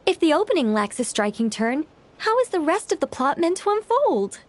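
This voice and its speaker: A woman's voice: high-pitched, very animated, normal speed. A woman playing an eccentric, theatrical novelist in a video game, speaking formally and with great self-assurance. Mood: dramatic, lofty.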